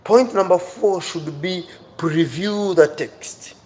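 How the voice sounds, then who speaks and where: A man's voice: high voice, slow pace, darkish tone. A man teaching on a livestream, speaking emphatically, repeating phrases and urging his viewers directly. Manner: addresses viewers directly, pleading and encouraging. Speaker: online teacher and livestream host. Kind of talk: livestream English reading-skills lesson. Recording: clear background noise.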